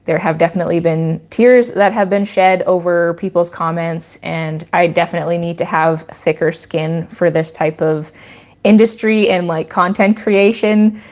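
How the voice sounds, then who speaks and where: A Canadian woman with a slightly low voice chats casually with her livestream viewers. She explains things like a teacher and often restarts her sentences as she rambles along. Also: fairly even intonation, normal speed, a little mellow. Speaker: goat farmer and channel host.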